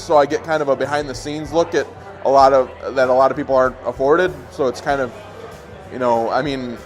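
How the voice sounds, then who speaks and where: Relaxed, self-deprecating male journalist. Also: a little high. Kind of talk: documentary interview.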